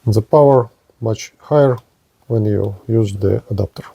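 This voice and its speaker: A man giving a hands-on demonstration of gear as a product reviewer, speaking in short, halting phrases. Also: fairly low pitch, gravelly, mellow, breathy edge, no room sound, lo-fi sound.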